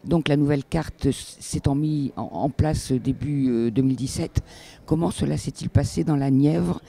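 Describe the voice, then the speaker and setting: A man interviewing someone, asking questions in a halting, hesitant way with repeated words. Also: a little high, vocal fry, mellow, husky.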